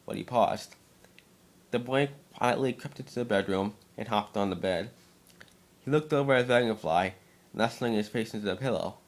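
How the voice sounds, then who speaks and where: A man reading aloud as an amateur narrator, now and then stumbling over his words. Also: mid-range voice. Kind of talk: dramatic story reading by an amateur.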